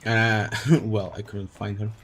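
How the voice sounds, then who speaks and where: A man's voice: lowish voice, flat delivery, takes it slowly, breathy edge. A man giving casual, wry live commentary as he streams a video game. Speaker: livestreamer.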